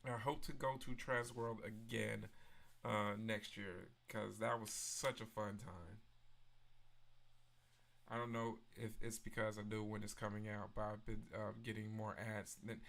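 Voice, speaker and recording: Gravelly, bright-voiced man chatting. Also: fairly low pitch, unhurried. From Memphis, Tennessee. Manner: relaxed and chatty, answering viewers' chat messages one by one. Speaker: livestream host. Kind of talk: casual live-stream chat.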